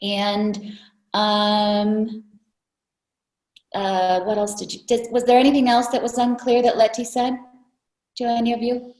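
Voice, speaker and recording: A woman's voice: on the high side, level tone, unhurried, pauses often, a little mellow. Woman explaining firmly in an echoey room. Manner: clear, firm, clarifying. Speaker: Red Cross spokesperson. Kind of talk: explanatory presentation.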